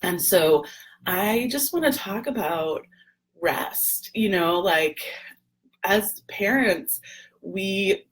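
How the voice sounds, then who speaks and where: A woman, hoarse and gravelly, chatting casually on a live video with lots of ums and throat clearing. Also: medium pitch, expressive, extremely slow. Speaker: doula and lactation educator, livestream host.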